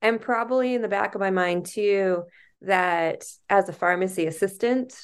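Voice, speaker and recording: A woman's voice: medium pitch, moderate pace, clean tone. A woman co-hosting a book podcast who talks in a thoughtful, meandering, self-aware way. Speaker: podcast co-host. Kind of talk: casual book discussion.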